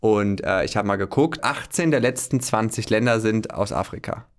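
A man's voice: fairly low pitch, normal speed. A German man hosting a podcast in casual chat, joking and rambling with many fillers and restarts. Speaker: podcast host.

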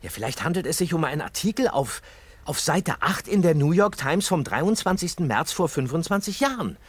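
Young male sidekick detective, audio drama: husky, higher-pitched, eager and sceptical. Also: very animated, a little fast, no room sound. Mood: eager, doubtful.

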